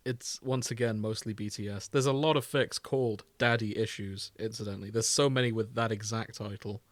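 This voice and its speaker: A man's voice: lowish voice, steady tone, fairly quick, no echo. A man co-hosting a comedic podcast, chatting casually and bantering with playful mock indignation. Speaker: podcast co-host. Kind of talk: casual comedic chat, reading out fan fiction tags.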